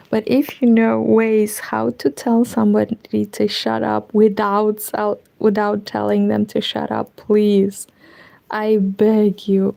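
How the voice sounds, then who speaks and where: Woman, Romanian accent, casual knitting stream, rambling, half-whispered asides, gravelly. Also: on the high side, dark tone. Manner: rambling, talks to herself, drifts into whispers. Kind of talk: casual chat while knitting. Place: home room with curtains.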